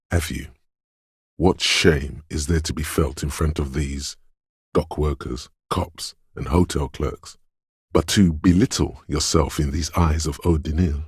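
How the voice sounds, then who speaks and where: An actor playing a cryptic, dreamy inner voice of intuition in a video game, theatrical and ominous, with a gravelly hushed rasp and deliberate dramatic pauses. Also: male voice, a bass voice, no room sound, studio quality, heavily acted. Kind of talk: theatrical video game narration, second-person poetic musing.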